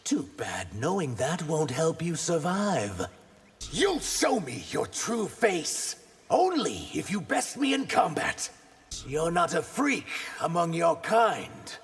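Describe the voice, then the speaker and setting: Give us an earnest male lizard-man warrior from a fighting game. His delivery is formal yet swings widely in pitch, and the voice sits fairly high for a man, inside a long reverb. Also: huge pitch swings, takes it slowly, husky, heavily acted. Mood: defiant. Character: earnest male reptilian shapeshifter warrior and royal emissary. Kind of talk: video game fight banter acting.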